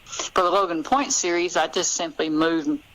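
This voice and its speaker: A woman with a deep-set voice and a Southern American accent. She talks casually, like a novelist being interviewed. Her answers come haltingly, in short thought-out-loud phrases. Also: steady tone, slight background noise, poor audio. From Memphis, southern United States.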